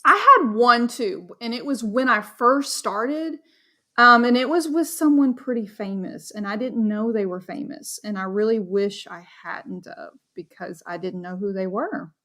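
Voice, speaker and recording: A woman from Dallas talks through an easygoing interview with a bright, sharp-edged voice sitting a touch high. Her delivery is bubbly and chatty, full of ums and quick asides. She comes across as a friendly paranormal investigator trading stories. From Dallas, Texas. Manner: chatty, bubbly, filler-heavy. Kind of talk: casual interview chat.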